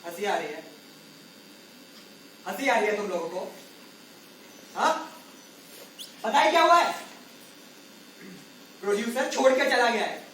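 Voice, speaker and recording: A woman playing a bossy, exasperated clown troupe leader on stage, scolding with drawn-out sarcasm. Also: on the high side, expressive, fairly bright tone, slight background noise. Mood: exasperated, sarcastic. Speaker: stage actor. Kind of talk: Hindi stage play acting. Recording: very echoey hall, distant mic, faint music.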